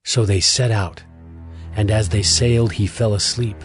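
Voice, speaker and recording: A man narrating a dramatized scripture reading in a steady, even storytelling voice. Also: lowish voice, unhurried. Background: music.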